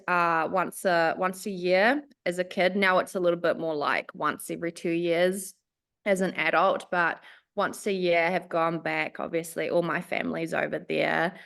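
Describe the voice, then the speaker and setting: A woman from Finland with a New Zealand accent speaks in a slightly low voice. She talks in a chatty, animated way as an interview guest, sprinkling in ums and light laughs. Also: gravelly. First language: Swedish and Finnish. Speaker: guest, spiritual life coach. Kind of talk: casual podcast interview.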